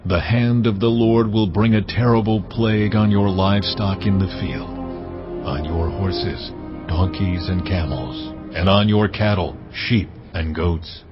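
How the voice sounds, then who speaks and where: God in an audio drama, a very deep and unhurried commanding voice. The sound is slightly dull, with music swelling underneath. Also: male voice. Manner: slow, measured, authoritative delivery. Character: God, a solemn commanding divine voice. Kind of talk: dramatized scripture reading.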